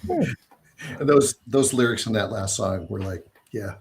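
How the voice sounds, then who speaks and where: Low-voiced laughing man hosting a stream. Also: lively intonation, unhurried, a little hiss or hum. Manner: laughs often, chatty and self-deprecating. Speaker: songwriter hosting album listening stream. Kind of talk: casual chat.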